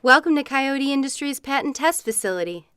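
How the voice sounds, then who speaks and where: A woman's voice: high voice, animated, fairly quick, sharp tone, dry recording, clean studio sound. A woman playing a darkly cheerful corporate guide at a test facility in a comic radio play, politely delivering grim news. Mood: mock cheerful. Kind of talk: comic radio theatre monologue.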